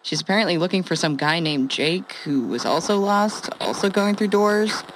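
An actress playing a wry, sweary former radio host who survives the apocalypse, telling her day in a halting, conversational audio-drama monologue. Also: lowish voice, expressive, slight background noise, natural voice, poor audio. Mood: incredulous, wry. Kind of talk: conversational comedic monologue, as a ham radio log.

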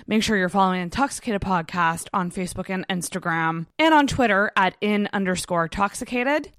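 A woman's voice: medium pitch, no room sound, studio quality. A woman hosting a podcast in a casual, chatty way, with a big voice, gushing and swearing freely. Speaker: podcast host.

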